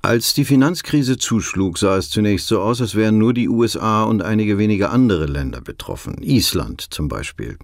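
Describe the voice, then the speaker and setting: An actor playing a sober documentary narrator, explaining in scripted, matter-of-fact sentences. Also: male voice, lowish voice, animated, moderate pace, hardly any pauses, no room sound. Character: sober male documentary narrator of a financial crisis.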